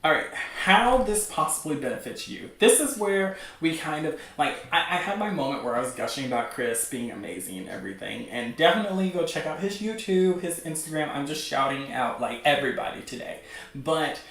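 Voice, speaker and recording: Guy streamer w a highish voice, gushy n rambly, says like a lot, super echoey room, bit far from mic. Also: fairly quick. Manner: gushing, excitable fan enthusiasm; rambling, heavy use of "like". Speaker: livestream host. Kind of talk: casual livestream chat about webcomics.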